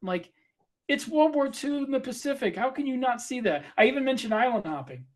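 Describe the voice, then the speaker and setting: A man co-hosting a casual podcast, giving his view in a plainspoken, measured way. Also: extremely high pitch, lively intonation. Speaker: podcast co-host and writer. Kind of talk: casual panel discussion.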